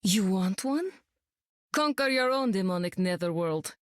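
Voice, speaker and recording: A woman's voice: mid-range voice, lively intonation, measured pace, no room sound, studio quality, close to an everyday voice. An actress playing a haughty young demon-realm queen in a video game, declaiming imperiously.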